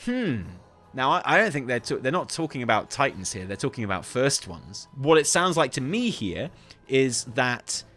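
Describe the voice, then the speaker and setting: A man hosting a stream, giving excitable off-the-cuff commentary as he thinks aloud. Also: mid-range voice, normal speed, faint music behind. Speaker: streamer and video host. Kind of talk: live reaction and lore commentary.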